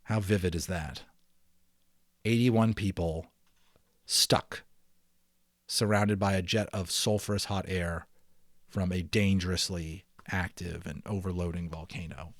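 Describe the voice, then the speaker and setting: A man giving an expressive, enthusiastic dramatic reading of a children's novel, drawing out key words and slipping into casual asides. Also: sits low, no room sound, studio quality. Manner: expressive, enthusiastic storyteller, emphasizes and draws out words. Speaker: amateur audiobook reader.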